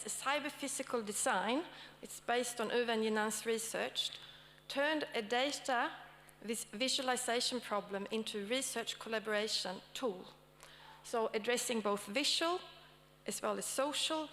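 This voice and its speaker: A woman's voice: a little high, measured pace, fairly bright tone. A woman with a Swedish accent moderating and opening a conference session, checking in with the audience often between fillers. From Sweden. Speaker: session moderator and academic speaker. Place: conference hall. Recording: long hall reverb, faint hum.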